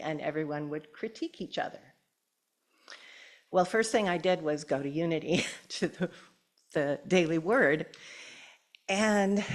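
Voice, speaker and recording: An older woman shares a personal story at a church gathering, gentle and given to soft laughter. Her voice sits a little low, and she takes her time, unhurried and deliberate. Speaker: church speaker. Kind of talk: personal story and poem reading.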